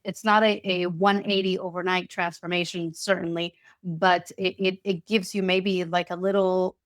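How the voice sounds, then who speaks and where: A woman giving a casual online talk with slides, slightly nasal from a cold, with halting speech and frequent restarts. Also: on the low side, dry recording, studio quality. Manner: halting, many restarts and repeated words. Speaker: presenter.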